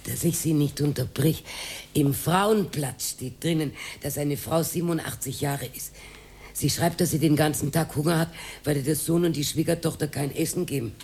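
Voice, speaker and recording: Low-voiced, stubborn older Austrian woman. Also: steady tone, moderate pace, darkish tone, slight background noise. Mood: chatty. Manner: plain-spoken, stubborn. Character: lonely, stubborn older working-class woman, resigned. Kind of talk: radio drama acting, colloquial.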